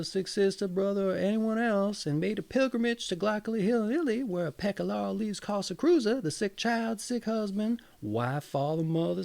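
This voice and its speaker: A man voicing a gullible, eager peasant husband in a storybook, earnest, excited and long-winded. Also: high-pitched, gravelly, voice pushed up, exaggerated voice.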